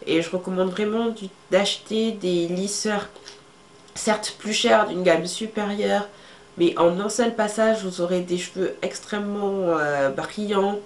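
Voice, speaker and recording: A woman's voice: medium pitch, darkish tone. A young woman vlogger, congested from a cold, chatting casually to camera with many fillers as she shows her hair tools. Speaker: beauty vlogger. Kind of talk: casual how-to talk to camera. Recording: echoey room with faint ambient noise.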